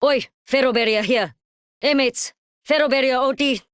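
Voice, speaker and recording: A woman playing a brash, matey fighter shouting out sightings in a video game. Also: high-pitched, moderate pace, clear, resonant tone, no room sound, clean studio sound, natural voice. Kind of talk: video game character callouts.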